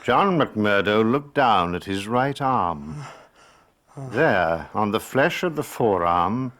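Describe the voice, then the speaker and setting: An actor narrating a dark tale as a grave, measured storyteller in a radio drama. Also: male voice, lowish voice, lively intonation. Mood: grave, suspenseful.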